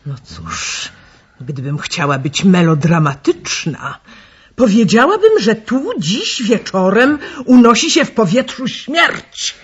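A husky society lady in a radio play. She speaks slowly and theatrically, with big swings in pitch. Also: huge pitch swings, heavily acted. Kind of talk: radio drama acting. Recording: dull, muffled recording.

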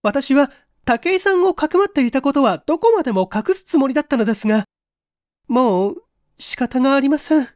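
Woman playing a high-voiced, genteel young lady. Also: animated, brisk, darkish tone, no echo, studio quality. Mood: anxious, confessing. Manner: feminine, polite, flustered. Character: anxious, genteel young single woman recounting her ordeal. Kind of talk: dramatic audiobook reading.

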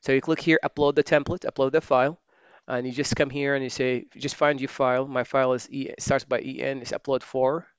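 A man with a smooth, resonant voice talks through a software task step by step, thinking aloud and stopping to fix his own slips. The recording is dry and a little dull, like a desk mic close in a quiet room. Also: medium pitch, a little fast, hardly any pauses, dry recording. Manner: relaxed, thinking aloud while typing, many fillers and self-corrections. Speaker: software trainer. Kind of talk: screen-recorded software tutorial.

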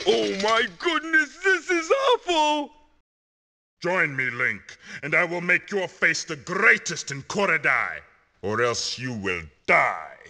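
Hammy cartoon-game monster, bombastic, gravelly edge, piercingly forceful, faint noise. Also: male voice, sits high, very animated, slow pace, full character voice. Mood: menacing, bellowing. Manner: hammy, melodramatic. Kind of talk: cartoon game villain acting.

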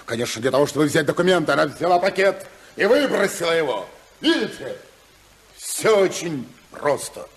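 A domineering, indignant husband in a 1950s radio play. His voice is very high for a man, and he declaims with sarcasm and accusation. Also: very animated, moderate pace. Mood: insistent, accusing.

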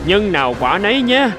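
A man's voice: sits high, heavily acted. A man voicing a spiteful, cocky male sardine in a cartoon fable, mocking and gloating theatrically. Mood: taunting. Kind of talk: animated fable voice acting.

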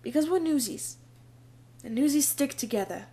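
A woman's voice: high-pitched, expressive, normal speed, dark tone. A woman playing a spirited young girl disguised as a newsboy in a fan audio drama, talking in an earnest, pleading way. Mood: tender. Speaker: Brenna, called Trix.

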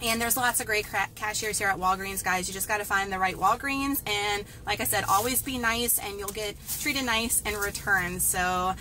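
A woman's voice: medium pitch, steady tone, moderate pace, few pauses, bright, forceful tone. A woman, chatting upbeat to viewers as she walks through store deals, then films from a parked car. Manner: upbeat, talks straight to viewers with "y'all" and "guys". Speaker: couponing vlogger. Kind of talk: casual chatty deal walkthrough. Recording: noisy store and car background.

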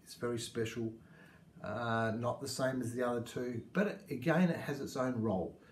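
A man's voice: medium pitch, moderate pace. A man in his fifties with an Australian accent, talking casually to camera about native plants, rambling and gesturing, in a small indoor room. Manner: warm, rambling, gestures with hands. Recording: echoey room.

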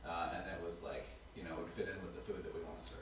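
Low-voiced guy kinda far from the mic, echoey room, lots of ums and restarts. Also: level tone. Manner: halting, many fillers and restarts. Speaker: restaurant co-owner. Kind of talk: casual interview answers. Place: small studio on a couch.

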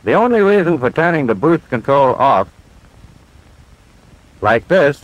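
A military flight instructor from a 1940s training film. His voice sits fairly high and swings animatedly in pitch. He explains step by step with patient confidence, heard on a vintage film soundtrack. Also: very animated, unhurried, no echo, lo-fi sound. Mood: patient, instructive. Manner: clear, methodical instructional delivery.

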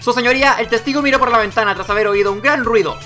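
A man's voice: extremely high pitch, very fast, bright, forceful tone, soft background music, pitched above the performer's own voice. A man voicing an earnest young defense lawyer in a video game, hesitant but insistent. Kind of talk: video game courtroom dialogue dubbing.

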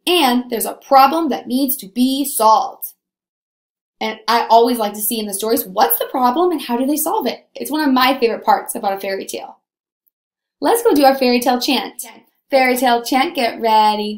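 A woman in her twenties teaching young children in an upbeat, animated, encouraging way on a video lesson. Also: fairly high pitch, moderate pace, echoey room. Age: 20s. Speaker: primary school teacher.

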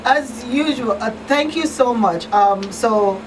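Female talk show host, conversational and emphatic, raised pitch, noisy distant pickup. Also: lively intonation, takes it slowly. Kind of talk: conversational radio hosting. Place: small radio studio.

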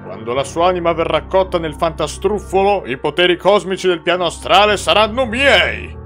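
A grandiose ghost chef from a cartoon , acted by a man. He speaks in a fairly high male voice with florid pomp, a schemer who relishes every word. Music plays underneath. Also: expressive, fairly quick, natural voice. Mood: menacing, gloating. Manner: florid, pompous wording. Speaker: villain in a fan dub. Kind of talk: theatrical cartoon dubbing.

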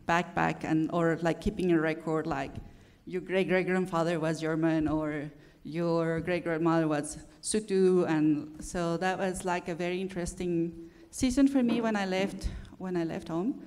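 Brief: woman, 30s, church panel guest, easygoing and halting. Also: on the low side, fairly even intonation, darkish tone. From Mexico. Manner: conversational, hesitant with many fillers. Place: church stage before a congregation. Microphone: handheld mic.

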